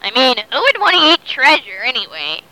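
Woman voicing a lively, blustering male pirate villain. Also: high-pitched, brisk, full character voice, low-quality recording. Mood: chummy. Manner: blustering, stammering, pirate talk. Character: shifty, bumbling male pirate captain, jokey villain.

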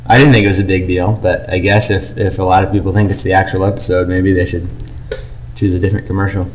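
A man's voice: deep voice, fairly even intonation, fairly quick, lo-fi sound. A man co-hosting a podcast, talking casually as he discusses and gives his opinions. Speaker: podcast co-host. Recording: faint background noise.

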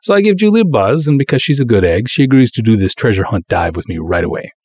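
A man's voice: mid-range voice, sing-song, quick pace, darkish tone, breathy edge. A man playing a proud, folksy small-town cop who narrates his own pulp detective story in breezy, wisecracking, colloquial style. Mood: confident, casual. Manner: breezy, colloquial, hard-boiled wisecracking delivery. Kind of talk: dramatic first-person pulp story narration.

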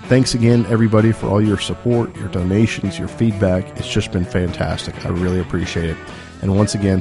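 A man hosting a podcast, casually signing off while losing his voice to a cold, rambling with many fillers. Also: low-pitched. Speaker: podcast host. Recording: music playing underneath.

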